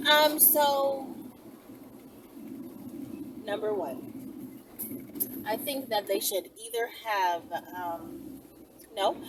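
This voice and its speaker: A woman chats casually to viewers in a blunt, slangy, playful way. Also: distant mic. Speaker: vlogger answering viewer questions. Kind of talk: casual, rambling chat to viewers. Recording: noisy vehicle rumble, voice off mic.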